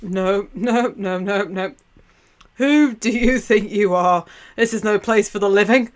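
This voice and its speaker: A woman voicing a grumpy old male ferryman of the dead, loud, scolding and rude in a told myth. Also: medium pitch, a little slow, close to an everyday voice. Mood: angry refusal.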